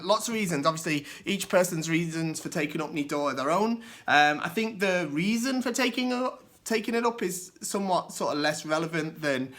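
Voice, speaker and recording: A man hosting a casual solo video, answering viewer questions. His voice is hoarse from a cold, and he explains things at length with many asides. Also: fairly high pitch, a bit bright. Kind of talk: casual solo vlog talk.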